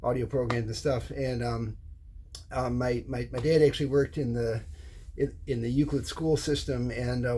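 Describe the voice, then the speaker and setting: A middle-aged or older American man giving an informal, unscripted explanation as a hobbyist presenter, halting and often repeating words or restarting phrases. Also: mid-range voice, a little mellow, a little hiss or hum.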